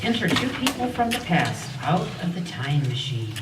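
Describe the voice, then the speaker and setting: A woman's voice: lowish voice, very animated, moderate pace, heavy background noise. A woman reading scripted narration for a radio play. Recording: echoey stage, distant mic, loud music behind.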